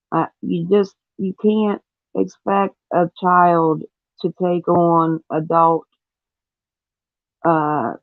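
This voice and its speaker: Woman, gravelly fry, thin telephone sound, dry and close, earnest casual commentary. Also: fairly low pitch, no echo, phone-quality sound. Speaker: panelist on a discussion show.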